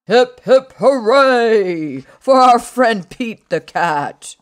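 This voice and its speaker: A woman voicing a hearty, commanding old male Santa from a children's storybook, exclaiming loudly and emphatically. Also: on the high side, animated, extremely slow. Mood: jubilant.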